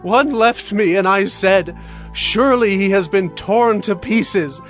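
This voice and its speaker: A man's voice: sits high, takes it slowly, soft background music. A man voicing Judah, a humble brother earnestly pleading before a ruler, in a dramatized scripture reading.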